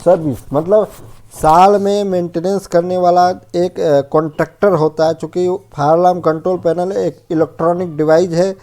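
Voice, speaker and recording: A man's voice: sits high, steady tone, moderate pace, dark tone. A man giving an informal technical lesson as an instructor, explaining checklist points and often rephrasing and defining terms. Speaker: fire safety instructor.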